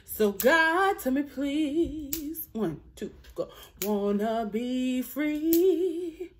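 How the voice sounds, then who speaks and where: A young woman with a deep alto voice practicing a song in her bedroom, singing along to a track and chatting to her viewers between lines. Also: expressive, extremely slow, slight background noise. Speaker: vlogger learning a song. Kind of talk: casual singing practice vlog. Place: small bedroom indoors. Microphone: phone camera held close.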